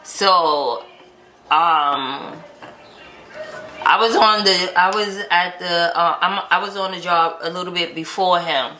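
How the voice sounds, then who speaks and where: American woman chatting casually, lowish bright voice, echoey room w a bit of noise. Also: takes it slowly. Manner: animated retelling with quoted dialogue. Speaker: vlog host telling a couple's story. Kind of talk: casual storytelling chat. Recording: roomy echo, light background noise.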